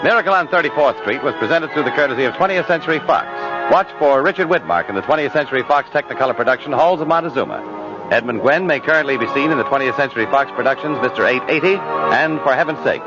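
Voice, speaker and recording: A man's voice: mid-range voice, animated, fast talker, music in the background. An American man doing polished, formal 1950s radio announcing. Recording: muffled vintage radio recording.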